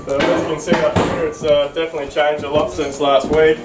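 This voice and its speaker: Australian builder in echoey gutted house. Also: male voice, on the high side, a little fast. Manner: relaxed, explanatory, lots of ums. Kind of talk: casual site walkthrough. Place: house under renovation, indoors. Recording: echoey bare rooms, noisy.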